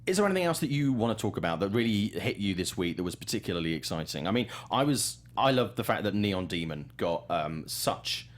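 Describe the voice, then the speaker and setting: A man's voice: on the low side, sing-song, fairly quick, hardly any pauses. A man hosting a film news show in a casual, chatty and enthusiastic way.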